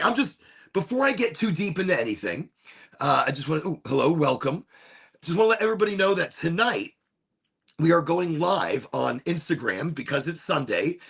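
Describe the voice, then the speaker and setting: A man hosts a relaxed livestream, his voice hoarse and worn from overuse. His tone sits a little high and crackles with a gravelly, husky grain. He rambles cheerfully, circling back and repeating himself as he chats. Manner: upbeat, rambling, repetitive. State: losing his voice. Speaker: livestream host. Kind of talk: casual livestream chat.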